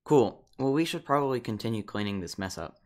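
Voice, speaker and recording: A young man voicing Jacob, a gentle, earnest teen boy hiding that he is magical. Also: mid-range voice, animated, a little fast, close to an everyday voice. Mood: curious. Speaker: voice actor. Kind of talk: amateur voiced game movie.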